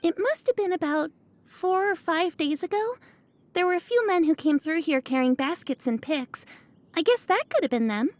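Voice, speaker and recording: A woman's voice: extremely high pitch, expressive, normal speed. A woman voicing an earnest, slightly hesitant young female bystander in a video game. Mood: puzzled, recalling. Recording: faint music behind. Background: game music.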